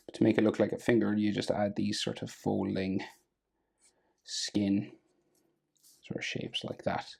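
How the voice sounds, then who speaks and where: An Irish man with a gravelly, husky voice, casually talking through a drawing lesson step by step and trailing off quietly as he works. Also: lowish voice, a little slow. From Ireland. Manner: relaxed, thinking aloud while drawing, trailing off quietly. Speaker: drawing tutor.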